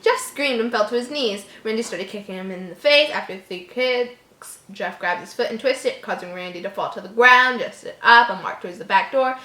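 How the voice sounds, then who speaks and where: A woman's voice: medium pitch, normal speed, bright, forceful tone, roomy sound. A woman reading a horror story aloud casually, giggly and reactive, breaking off to joke and comment.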